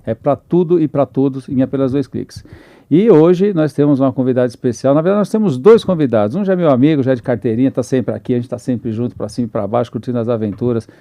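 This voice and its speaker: A man's voice: mid-range voice, fast, warm tone, no echo. A man hosting a podcast, introducing his guests with enthusiastic, rambling talk full of restarts. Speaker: podcast host.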